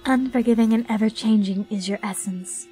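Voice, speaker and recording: A woman acts out a druid's devout wife in a fantasy audio drama. She has a fairly high voice and a calm, reassuring manner, with soft music underneath. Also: moderate pace, lightly acted, rough recording. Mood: chanting prayer.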